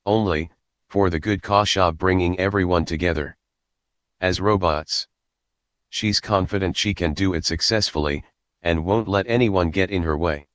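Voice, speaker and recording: A man's voice: low voice, normal speed, fairly bright tone, no echo. A man narrating, reading a story script aloud.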